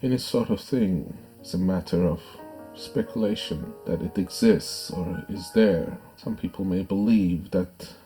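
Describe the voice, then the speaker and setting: A man narrating a guided meditation in a measured, soothing, instructive way. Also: deep voice, dark tone. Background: background music.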